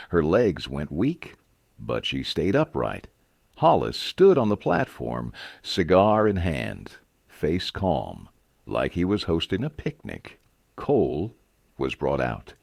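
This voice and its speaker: A man's voice: extremely low pitch, gravelly, a little mellow, no echo, clean studio sound. A man narrating a scripted Western story dramatically, in short, suspenseful phrases.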